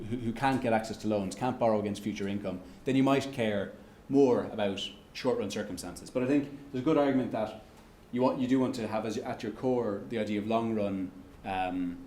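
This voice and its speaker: A man's voice: on the low side, a little mellow, a little hiss or hum. A man with an Irish accent answering audience questions at an economics lecture, stumbling through restarts and fillers. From Ireland. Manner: halting, many restarts and fillers. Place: lecture room. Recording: echoey lecture room.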